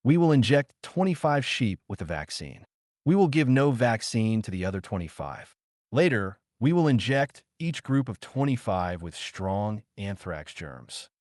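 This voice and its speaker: A man's voice: lowish voice, big pitch swings, a little slow, darkish tone, dry recording, studio quality. A man reading the quoted words of an earnest, confident French scientist in a biography audiobook, in a formal, assured manner.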